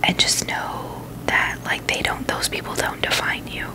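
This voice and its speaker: A woman whispering in a breathy, unvoiced voice, chatting casually and haltingly in a gentle ASMR style. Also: low-quality recording. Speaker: ASMR video host.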